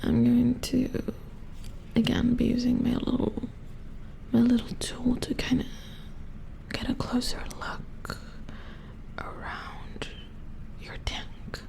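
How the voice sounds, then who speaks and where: Woman doing a soft whispery robot repair engineer asmr, slow n focused, pretty even tone, calm and methodical. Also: on the high side, vocal fry, heavily acted, low-quality recording. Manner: unhurried, murmuring as if concentrating on close work. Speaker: ASMR roleplay performer. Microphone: close ASMR microphone.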